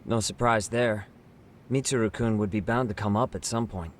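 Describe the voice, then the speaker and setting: An actor playing a young investigator sidekick in an English game dub, informal and full of questions. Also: male voice, on the low side, moderate pace, slight background noise, natural voice. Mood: curious. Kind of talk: English video game dub cutscene acting.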